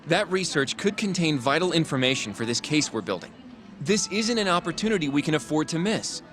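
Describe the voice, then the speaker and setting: A man's voice: on the high side, very animated, fairly quick, fairly bright tone, faint music behind. A man acting as an earnest, polite young lawyer colleague in a video game drama, articulate and insistent. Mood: earnest, coaxing.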